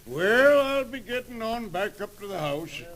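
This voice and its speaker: An actor playing an older cowboy ranch boss in a radio western, gruff but genial, with a folksy, hesitant drawl. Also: male voice, high-pitched, big pitch swings, measured pace, a bit bright, exaggerated voice. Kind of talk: old-time radio western acting.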